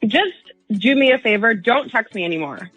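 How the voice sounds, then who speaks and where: Young female American caller, husky yet forceful, blunt and confrontational delivery. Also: a little high, expressive, moderate pace, soft background music, low-quality recording. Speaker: phone-in caller.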